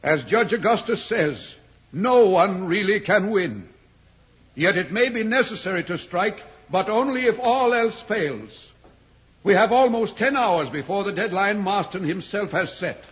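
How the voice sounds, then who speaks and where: An earnest professor in an old radio space serial. His voice is high for a man, his delivery formal and pleading. The sound is dull and vintage. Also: animated, measured pace. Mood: reasoning. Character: earnest, reasoning male professor from Earth.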